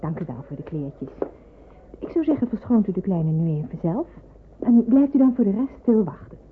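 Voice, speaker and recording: An actress playing a practical, compassionate nurse in a wartime radio drama. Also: female voice, mid-range voice, very animated, normal speed, lightly acted. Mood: practical, caring. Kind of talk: Dutch radio drama acting. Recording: tinny old radio recording with clear noise.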